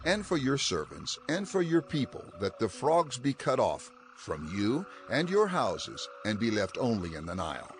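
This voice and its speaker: A man's voice: medium pitch, wildly swooping pitch, moderate pace, hardly any pauses, slight background noise. An actor playing an earnest, firm Hebrew prophet in a dramatized audio Bible, declaiming his words.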